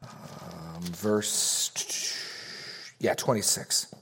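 A man with a fairly low voice leads a Bible study in a church classroom. He talks casually and loosely, often restarting sentences and slipping in small jokes between points. The tone stays informal and conversational. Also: steady tone, extremely slow, fairly bright tone, husky. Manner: rambling, self-correcting, joking asides. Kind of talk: casual teaching with Q&A.

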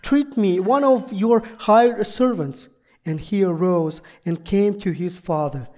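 A guest preacher whose first language is Russian, giving a sermon in halting, deliberate second-language speech. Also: medium pitch, darkish tone. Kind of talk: sermon with prayer and scripture reading.

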